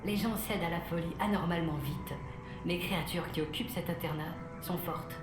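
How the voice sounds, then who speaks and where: A woman's voice: fairly low pitch, brisk, close to an everyday voice. A woman playing an earnest, defiant young male pegasus in a fantasy audio drama. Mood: puzzled, defiant. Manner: earnest, stubborn. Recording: echoing room, loud music.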